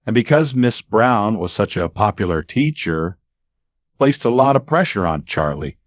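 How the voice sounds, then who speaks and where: A man narrating a scripted anecdote as a storyteller, with dramatic pauses and deliberate, emphatic phrasing. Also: on the low side, no echo, studio quality. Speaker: radio-style storyteller.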